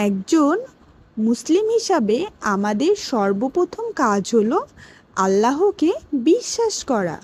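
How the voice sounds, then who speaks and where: A woman playing a patient schoolteacher in a children's cartoon, giving a scripted lesson in a deliberate, instructive way. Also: high-pitched, a little mellow, exaggerated voice. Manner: slow, deliberate, instructive, addressing pupils directly. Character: female schoolteacher patiently teaching Islamic basics to children.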